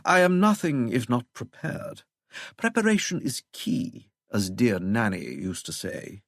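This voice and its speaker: A man voicing a smug, unflappable English gentleman villain for an audiobook, with a posh, droning, mock-courteous manner. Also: medium pitch, wildly swooping pitch, measured pace, no echo, studio quality, heavily acted. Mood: smooth, needling. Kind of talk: audiobook character voice.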